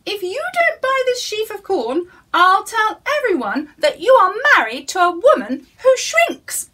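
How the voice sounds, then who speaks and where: A woman voicing a tiny old wife from a children's storybook, squeaky, insistent and cheeky. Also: big pitch swings, a little slow, sharp tone, exaggerated voice. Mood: indignant, threatening. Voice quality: squeaky little voice. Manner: insistent, scolding.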